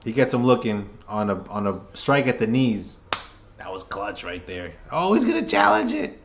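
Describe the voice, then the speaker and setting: A man giving casual, chatty play-by-play for a live chat, seated at a table at home, sounding under the weather with a voice getting hoarse. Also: lowish voice, sing-song, normal speed, a little hiss or hum. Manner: chatty, hyped, keeps rallying the chat. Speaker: fan livestream commentator.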